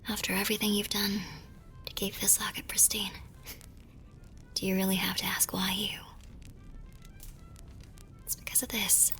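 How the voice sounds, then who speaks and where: A woman playing a tender, teasing young war nurse and sweetheart in an intimate ASMR romance roleplay, murmuring softly and breathily. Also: mid-range voice, completely flat delivery, moderate pace, long pauses, faint music behind. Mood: hopeful, tender. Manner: soft, breathy, affectionate murmuring.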